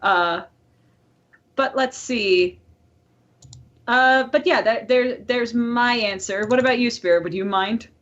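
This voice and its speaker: A woman's voice: a little high, takes it slowly, pauses often, a bit bright, lo-fi sound. A woman co-hosting a podcast in casual chat, talking in a chatty, informal way and swearing freely. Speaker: podcast co-host.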